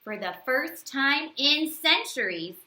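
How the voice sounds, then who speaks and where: An enormous, age-old storybook bird, acted out by a woman reading aloud, speaking in bright, high tones. It is friendly and eager, stretching its words grandly, with a roomy echo around the voice. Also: expressive, heavily acted. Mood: delighted, pleading. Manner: grand, exclamatory, drawn-out words. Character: giant ancient bird, enthusiastic, friendly, exclaiming. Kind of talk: storybook character read-aloud.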